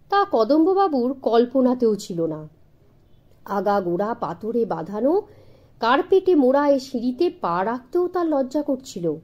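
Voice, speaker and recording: High-pitched, animated woman storyteller. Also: lo-fi sound. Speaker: audiobook narrator. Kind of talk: scripted children's story narration.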